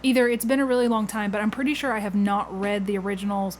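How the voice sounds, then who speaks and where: A woman's voice: mid-range voice, level tone, quick pace. A woman hosting a casual podcast interview, chatting in a conversational way. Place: indoor room at home next to a garage. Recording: buzzing air conditioner noise behind the voice. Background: air conditioner hum.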